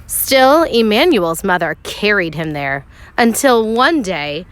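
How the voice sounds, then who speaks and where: Lady reading a kids picture book out loud, really lively pitch, pauses for drama. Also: no echo. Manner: measured storytelling with dramatic pauses and emphasis. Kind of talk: expressive storybook read-aloud narration for children.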